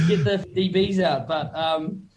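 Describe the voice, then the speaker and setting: A man's voice: high voice, darkish tone, a little hiss or hum, low-quality recording. A man with a New Zealand accent, a musician chatting casually as an interview guest, laid-back and full of slang and fillers.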